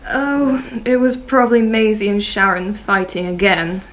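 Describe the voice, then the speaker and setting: A woman's voice: mid-range voice, measured pace, close to an everyday voice, low-quality recording. A woman playing a melodramatic, self-pitying upper-class wife in an amateur audio drama. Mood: theatrical.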